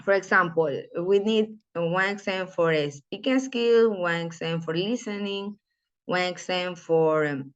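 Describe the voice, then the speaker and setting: Low-voiced woman presenting, dull recording. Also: clean tone. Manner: halting, restarts words. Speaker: conference presenter. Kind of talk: academic presentation.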